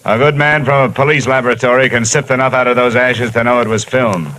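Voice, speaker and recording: A man's voice: mid-range voice, steady tone, fast, sharp tone, natural voice, low-quality recording. An actor playing a tough, wisecracking male insurance investigator who talks in a clipped, hard-boiled way, in 1940s radio drama style. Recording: old radio recording with faint hiss.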